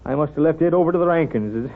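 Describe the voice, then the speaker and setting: An American actor playing a wise, wry, middle-aged small-town doctor in 1930s radio drama style, with folksy, hesitant mumbling. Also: male voice, on the high side, big pitch swings, fairly quick. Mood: genial, absent-minded. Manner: folksy, hesitant, absent-minded mumbling asides. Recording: tinny, narrow-band 1930s radio recording.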